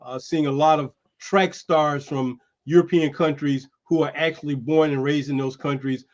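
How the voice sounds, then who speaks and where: A man hosting a streamed show, chatting loosely and calling people "man" as he pitches merchandise. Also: steady tone, moderate pace, dull audio. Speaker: host. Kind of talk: casual chat and merchandise pitch. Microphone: streaming setup.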